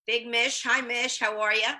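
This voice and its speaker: Bubbly woman host on a video call, bright chatty delivery. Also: on the high side, level tone, normal speed. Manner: bubbly, chatty, laughs easily. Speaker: podcast host. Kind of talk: casual video-call chat show.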